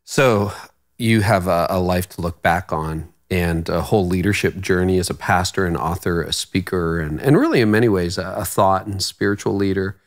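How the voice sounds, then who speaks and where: Deep-voiced middle-aged male podcast host. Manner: halting, searching questions with restarts. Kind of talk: interview questions. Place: small room, indoors. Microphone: studio microphone on boom arm.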